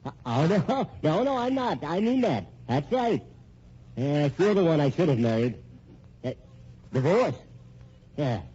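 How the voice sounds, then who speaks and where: An actor playing a smug, scheming husband in an old-time radio drama, full of stammering, mock-genial courtesy. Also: male voice, on the high side, big pitch swings, measured pace, pauses often, mellow, breathy edge, full character voice. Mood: mock sweet. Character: scheming, smug, sardonic husband playing everyone against each other. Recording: muffled, noisy old radio recording.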